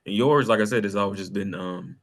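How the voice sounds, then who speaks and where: A man with a fairly low voice speaks as a guest on a podcast. He is casual and informal. His phrases come haltingly as he searches for the right words. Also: creaky, fairly bright tone. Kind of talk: casual chat.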